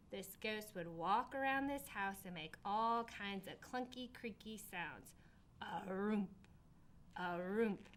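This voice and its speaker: Woman playing young storybook boy, coy, playful, suspenseful, bright and animated. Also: medium pitch. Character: Reggie, evasive young boy, playful sleepover pal.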